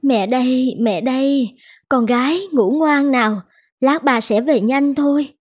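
An actress playing a long-suffering, anxious young wife and mother in an animated folk tale, plaintive in manner. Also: sits high, measured pace. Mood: soothing. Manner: plaintive, lamenting. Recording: music bed under the voice.

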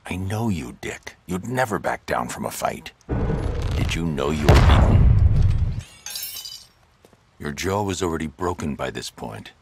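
Very deep, brooding vigilante. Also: male voice, animated, very noisy, exaggerated voice. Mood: grim analysis. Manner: terse, grave, deliberate. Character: grim, brooding male vigilante detective hero. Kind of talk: video game voice acting.

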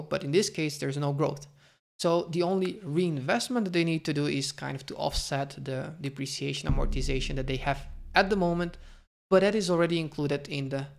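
Young man, gravelly voice, slightly high, thinks aloud with fillers, close mic in a small room. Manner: thinks aloud with fillers and restarts, cautious hedging. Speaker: finance video host. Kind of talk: explaining a stock valuation over slides.

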